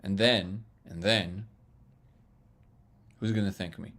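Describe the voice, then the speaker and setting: A man hosting a casual live stream, reading viewer comments and answering them in an excitable, loud, booming voice, laughing often. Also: slow. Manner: excitable, laughs often, reads chat aloud. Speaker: livestream host answering chat.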